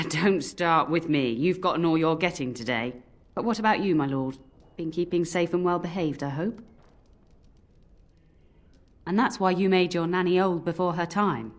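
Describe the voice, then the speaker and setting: Old scolding nanny played by a woman, low-pitched. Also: moderate pace, long pauses, close to an everyday voice. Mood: teasing, fond. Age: older. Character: old nanny, scolding but fond, telling cautionary tales. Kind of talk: scripted game voice acting, storytelling. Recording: music under the voice.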